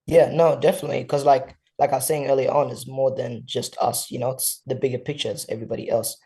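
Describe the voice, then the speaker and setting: This is a young man from South Sudan, a fighter appearing as a podcast guest. His voice is warm and mellow at a middling pitch. He speaks in casual slang and keeps checking in with "you know". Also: level tone, fast, slightly rough. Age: young adult. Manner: laid-back slang, frequent "you know". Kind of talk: casual interview.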